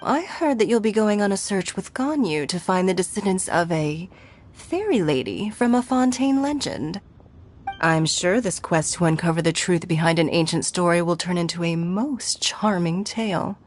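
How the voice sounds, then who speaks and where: A woman voicing a dignified, courteous lady leader in a video game, speaking in a formal, measured and gracious manner. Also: on the low side, animated, a little hiss or hum, lightly acted. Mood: politely warm.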